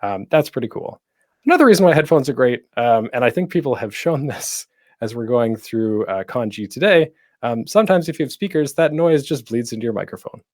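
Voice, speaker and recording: A man with a very lively, swinging delivery and an average-pitched voice gives a casual online how-to talk. He is chatty and playful, pausing deliberately to stress things. The sound is dry and close on the microphone. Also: dry recording. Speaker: panel host and podcasting instructor.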